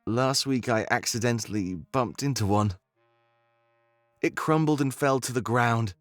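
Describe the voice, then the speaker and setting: A man's voice: mid-range voice, unhurried, a bit bright, dry recording, faint music behind, lightly acted. A man voicing an earnest rebel guide in a visual novel game, conscience-stricken but with a playful streak, talking in a musing, conversational way. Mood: remorseful, musing.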